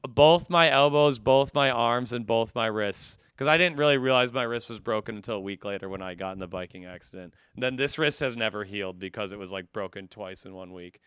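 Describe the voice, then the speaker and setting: A man's voice: fairly low pitch, fairly quick, few pauses, a bit bright, clear, resonant tone, no echo. A man telling self-deprecating comic stories as a podcast guest in casual, profane talk, often acting out dialogue. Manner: laughs through his stories, acts out dialogue. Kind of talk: animated comedic storytelling, casual chat.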